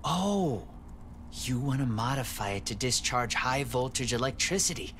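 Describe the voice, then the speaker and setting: A man playing a friendly, eager ship's engineer in a sci-fi game. His pitch is average and his delivery conversational, with faint ambient noise around him. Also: animated, normal speed, a bit bright, lightly acted. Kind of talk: scripted video game dialogue, conversational.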